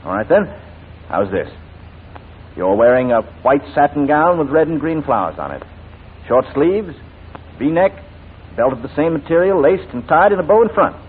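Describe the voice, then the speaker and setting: A man's voice: fairly high pitch, lively intonation, normal speed, pauses often, close to an everyday voice. A man playing a shrewd, proud English barrister in 1940s radio drama, articulate and formal. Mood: tender. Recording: tinny, noisy 1940s radio recording.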